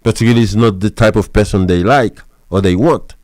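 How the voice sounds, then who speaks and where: Man, pastor, smooth clear voice, dry close sound, repetitive lecturing. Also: fairly low pitch, moderate pace, darkish tone, dry recording. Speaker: pastor and marriage counselor. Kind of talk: preachy advice monologue.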